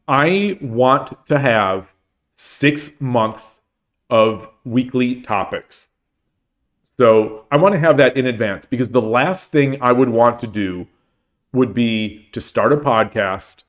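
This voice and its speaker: A man hosting a casual livestream, chatting at length and answering chat comments, with many restarts and fillers. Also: medium pitch. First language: English. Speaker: streamer and host.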